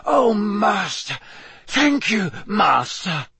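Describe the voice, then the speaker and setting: High, choked, sobbing servant. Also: male voice, big pitch swings, drawn-out words, creaky, bright, forceful tone, slightly rough, dry recording, full character voice. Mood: abject gratitude. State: crying. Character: cringing, sobbing, terrified male servant of dark lord. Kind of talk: audiobook character voice.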